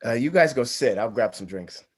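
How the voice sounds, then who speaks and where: An actor plays a good-natured young groom with an average male pitch. He is hesitant and a touch awkward, delivering dry, self-deprecating lines casually in a comedy script read. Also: animated, normal speed, husky.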